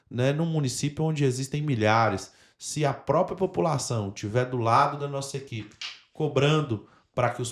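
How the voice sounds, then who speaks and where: A man with a Brazilian Portuguese accent hosting a podcast, speaking earnestly and formally as he addresses and urges on the audience. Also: medium pitch. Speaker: podcast host.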